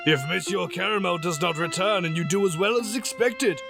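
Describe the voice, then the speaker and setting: A man playing a stern, smooth-talking pony opera manager in an audio drama, formal and imperious. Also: high voice, moderate pace, music in the background. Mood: businesslike.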